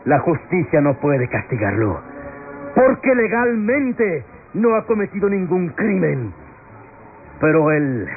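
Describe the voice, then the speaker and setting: An actor playing an impassioned defense lawyer in a classic Latin American radionovela, declaiming solemnly with rhetorical pauses. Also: male voice, on the high side, full character voice. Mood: solemn, accusing. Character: impassioned male defense lawyer pleading in court. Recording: tinny vintage radio drama, music behind.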